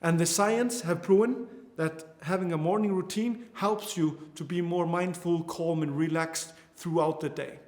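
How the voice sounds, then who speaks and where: A man's voice: fairly high pitch, fairly even intonation, a little slow, darkish tone, roomy sound. A man in his twenties from Iceland with an Icelandic accent, teaching an online course earnestly, often restarting his sentences. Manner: earnest, with frequent restarts and fillers. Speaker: course instructor.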